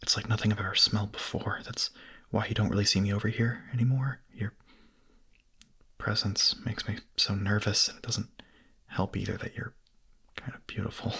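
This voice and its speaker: A man playing a hungry, nervous, lovestruck young vampire in an ASMR roleplay, speaking in a breathy, husky, hesitant whisper. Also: on the low side, fairly even intonation, pushed and bright, exaggerated voice. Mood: nervous, pleading. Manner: hesitant, halting, confessional.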